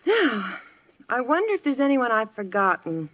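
A woman's voice: on the high side, very animated, normal speed. An American actress playing a patient, practical middle-aged housewife and mother in a 1950s radio sitcom. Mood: pleasant. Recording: muffled lo-fi 1950s radio recording.

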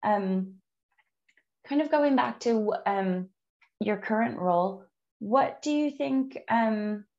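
A woman who is a native English speaker, hosting a conversational interview in a measured, encouraging way with frequent ums. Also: medium pitch, creaky, darkish tone, highs cut off. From outside the UK. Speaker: podcast host.

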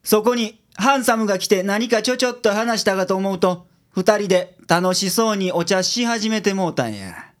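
A gruff detective boss acted by a man with a Kansai accent and a notably high voice for a male. He gives blunt orders in radio drama style. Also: lively intonation, moderate pace, few pauses. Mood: exasperated. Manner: blunt, commanding.

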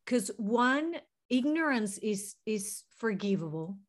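A woman from Venezuela with a Spanish-tinged accent speaks in a slightly high voice. She is a therapist and guest on a podcast. She explains herself earnestly and reflectively, in long unfolding sentences. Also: very animated, slow pace. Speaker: guest, licensed mental health therapist. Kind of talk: podcast interview.

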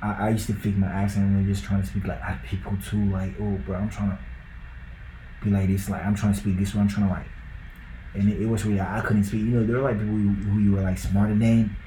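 A young Ghanaian man chats on a podcast in a low, mellow voice that stays fairly flat. His talk rambles, packed with likes and bros. The room echoes around him, with a faint hum behind. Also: fast talker. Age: young adult. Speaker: podcast co-host. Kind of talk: casual podcast chat.

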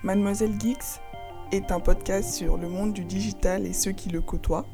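A woman's voice: mid-range voice, steady tone, moderate pace, over music. Young woman hosting a podcast. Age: young adult, student. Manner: friendly, encouraging reactions. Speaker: podcast host. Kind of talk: podcast interview.

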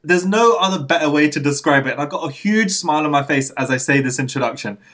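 A man hosting a podcast remotely from London, gushing and excitable, his voice slightly croaky after a coughing fit. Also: fairly high pitch, fast talker. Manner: excitable, gushing, laughs often. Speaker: podcast host. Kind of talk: enthusiastic podcast interviewing. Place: home studio in London. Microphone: remote recording.

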